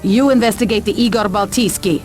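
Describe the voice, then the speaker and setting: A woman's voice: mid-range voice, brisk, a little mellow, soft background music, lightly acted. A woman playing a cool, businesslike spy in a video game, speaking formally. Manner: formal, clipped.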